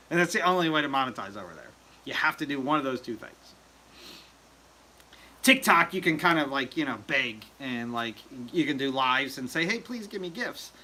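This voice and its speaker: A man's voice: medium pitch, pushed and bright, rough recording. A man hosting a casual livestream chat, rambling with lots of fillers and joking with viewers. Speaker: livestream host.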